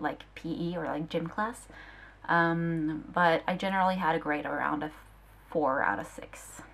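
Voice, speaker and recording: A woman's voice: on the low side, creaky, fairly bright tone. A young woman from Norway, a native Norwegian speaker with a Norwegian accent, chatting casually as she reads out and answers questions in a rambling vlog. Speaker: vlogger.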